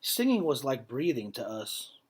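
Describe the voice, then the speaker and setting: A man with a mid-range voice reads a book aloud in the manner of a classroom teacher. His phrasing is measured and emphatic in the story, and more informal when he explains something. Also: mid-range voice, big pitch swings, husky. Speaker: teacher reading aloud to students. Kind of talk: picture book read-aloud with casual explanatory asides.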